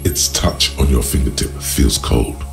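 A man voicing the brooding inner narration of a detective game, gravelly, deliberate and poetic. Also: extremely low pitch. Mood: hushed, awed. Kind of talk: scripted second-person game narration. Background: music.

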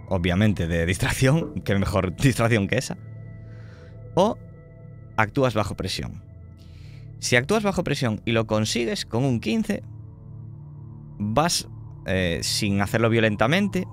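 Low-voiced Spanish game master. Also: male voice. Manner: measured, explains options, checks in with "vale". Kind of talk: narrating a tabletop horror game.

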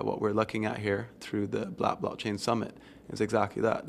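A man from Barbados answering interview questions in a measured, reflective way with frequent ums, speaking into a handheld mic at an event venue. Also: lowish voice, creaky, slight background noise. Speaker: interview guest. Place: event venue with windows, seated on sofa.

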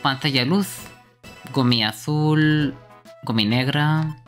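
A man's voice: a little high, takes it slowly, soft background music. A man streaming a game, chatting casually and reading things off as he plays. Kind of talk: casual live gaming chat.

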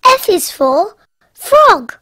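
A woman voicing a cheerful little girl piglet in a cartoon, reciting the alphabet in a bright, giggly sing-song. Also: very high-pitched, very animated, very slow, a little hiss or hum, exaggerated voice.

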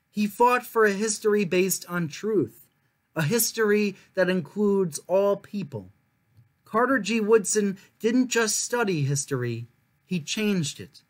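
High-voiced young man reading aloud. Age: young adult. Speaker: children's storytime reader and host. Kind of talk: reading a picture book aloud to viewers.

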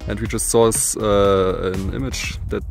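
A young man from Germany talking to his phone camera outdoors in the mountains, in a relaxed, halting way. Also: on the low side, vocal fry, faint music behind. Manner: relaxed, halting, plain-spoken. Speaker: hiking vlogger. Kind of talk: casual vlog narration. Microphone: phone at arm's length.

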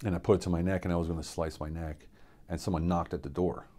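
A middle-aged man from Pennsylvania, a military veteran, gives an unscripted personal testimony in a studio, earnest and reflective, with many halting fillers and restarts. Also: low voice, steady tone, dark tone. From central Pennsylvania, USA. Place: indoor studio with dark backdrop.

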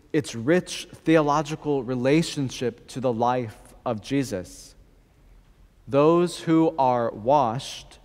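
A young man preaching a sermon as a priest, slow and measured, with deliberate pauses, at a church lectern microphone. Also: mid-range voice. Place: large church, indoors. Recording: long church reverb.

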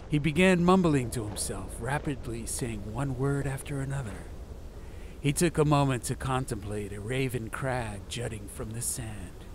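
A man narrating a fantasy audiobook in a slow, soft, measured way. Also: mid-range voice, noisy background. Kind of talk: atmospheric storybook narration. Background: ambient music.

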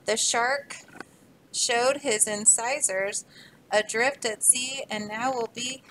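A woman's voice: fairly high pitch, steady tone, takes it slowly, fairly bright tone, slight background noise, low-quality recording. A woman voicing a group of frightened little beavers in a read-aloud storybook, wailing and complaining. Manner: wailing, plaintive.

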